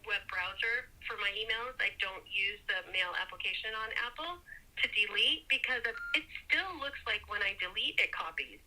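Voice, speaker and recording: A woman's voice: mid-range voice, moderate pace, sharp tone, a little hiss or hum, poor audio. A woman telling a personal story casually as a caller, rambling with emphatic repetition and casual swearing. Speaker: caller seeking tech help.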